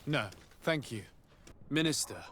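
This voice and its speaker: An actor playing a polite, resolute young nobleman diplomat in a video game, speaking in a formal, measured way. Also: mid-range voice, lively intonation, a bit bright, a little hiss or hum. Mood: courteous, composed. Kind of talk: video game cutscene acting.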